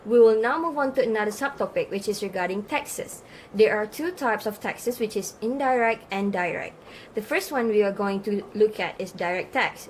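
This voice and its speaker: A woman's voice: mid-range voice, a little hiss or hum, close to an everyday voice, low-quality recording. A woman playing an encouraging but firm female teacher in a scripted student puppet show lesson. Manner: instructive, addresses class with questions and praise. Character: encouraging female teacher, praising yet gently scolding her students.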